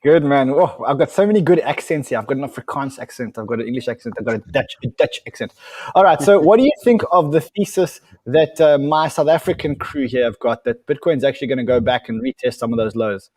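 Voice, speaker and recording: A man's voice: medium pitch, quick pace. A man hosting a live crypto stream, urging viewers on and calling in guests with eager energy. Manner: pushy, excitable, urging viewers. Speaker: show host.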